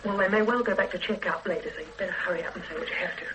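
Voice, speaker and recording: A woman's voice: on the low side, rapid-fire, noisy background, natural voice. A woman playing a guarded, bitter grown daughter in a radio drama, speaking in a cool, clipped, reproachful way.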